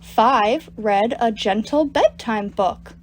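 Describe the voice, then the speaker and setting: A woman reading picture books aloud to children as a storytime reader, in an animated, deliberate storytelling manner. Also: fairly high pitch. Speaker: storytime reader at a public library. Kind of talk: expressive picture-book read-aloud for children.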